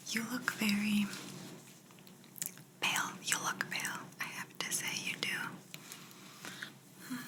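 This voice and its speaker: A polite alien examiner played by a woman whispers softly and very slowly in an ASMR spaceship roleplay. The delivery is gentle and clinical, with a faintly bemused curiosity about humans. The breathy whisper sits at an ordinary female pitch. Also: close to an everyday voice, poor audio.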